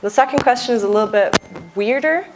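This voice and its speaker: A young adult woman from Austria, a software engineer giving a conference talk in a casual, lightly joking way with frequent uhs. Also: fairly high pitch, a little fast, background noise. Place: conference stage.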